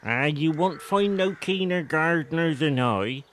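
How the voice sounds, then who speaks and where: A man's voice: on the high side, lively intonation, unhurried, fairly bright tone, slight background noise, voice pushed up. A man voicing a ninety-year-old country gardener in an audio drama, with a Wiltshire accent and rustic dialect grammar. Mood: rustic, unhurried.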